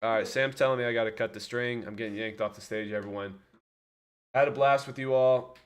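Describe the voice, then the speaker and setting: A man's voice: mid-range voice, steady tone, fairly quick, clean tone. A man hosting a casual livestream, chatting loosely and talking straight to his viewers. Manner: chatty, rambling, addresses viewers directly. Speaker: livestream host.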